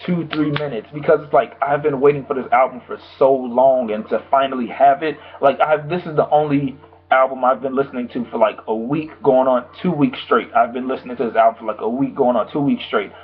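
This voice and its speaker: A young man, slightly hoarse from a sore throat, giving a rambling, casual album review full of fillers. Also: mid-range voice, fairly even intonation, fairly quick. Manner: rambling, loose, full of "like" and "you know". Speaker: music reviewer. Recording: tinny phone-like sound.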